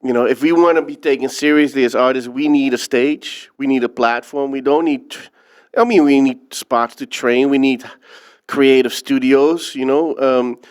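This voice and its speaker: A man from the Netherlands speaks as an interview guest, reflective and earnest as he tells his story. His voice is smooth and resonant, sitting at an ordinary middle pitch for a man. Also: steady tone, moderate pace. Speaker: filmmaker guest. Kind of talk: interview conversation.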